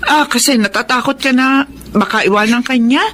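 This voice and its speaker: A woman's voice: on the high side, fairly quick, background noise, natural voice. A woman acting a distressed, disapproving middle-aged mother in a radio drama. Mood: hurt, upset. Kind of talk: Filipino radio drama melodrama.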